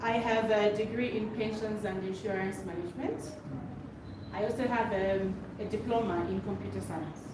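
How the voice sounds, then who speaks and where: A middle-aged woman with a Zambian accent presents herself formally and without a script. Her pitch is average. She sounds distant from the mic, in a noisy room with heavy echo. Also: steady tone, moderate pace. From Luapula Province, Zambia. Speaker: course participant. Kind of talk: impromptu formal self-introduction.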